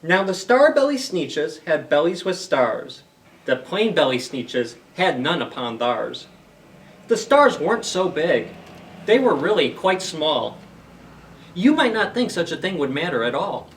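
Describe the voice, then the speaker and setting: A man's voice: on the high side, big pitch swings, measured pace, distant mic, a little hiss or hum. A man hosting a story time for young children, reading aloud and explaining things simply with questions to the listeners.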